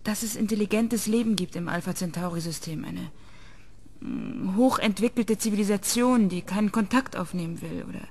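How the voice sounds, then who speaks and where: A woman's voice: mid-range voice, normal speed, darkish tone, close to an everyday voice. An actress playing a young female journalist who questions people in a matter-of-fact way. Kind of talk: radio drama acting.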